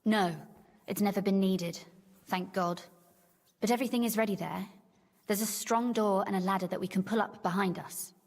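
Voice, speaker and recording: A woman's voice: medium pitch, steady tone, moderate pace, lightly acted. An actress playing a young, curious noblewoman in a video game, speaking in a genteel, articulate and teasing manner. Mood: helpful explaining. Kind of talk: video game dialogue acting. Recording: long reverb tail, faint game music behind.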